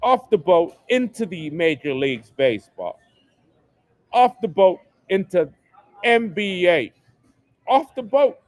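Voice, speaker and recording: A man's voice: sits high, big pitch swings, slow pace, pauses often, dry recording. A man hosting a solo livestream, delivering an emphatic, repetitive rant that hammers the same phrases. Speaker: livestream host. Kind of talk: ranting solo livestream monologue. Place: home setup, New York.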